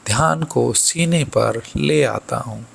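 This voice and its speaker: A man leading a guided meditation as a yoga instructor, soothing and deliberate, giving instructions in short phrases. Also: medium pitch, gravelly, breathy edge.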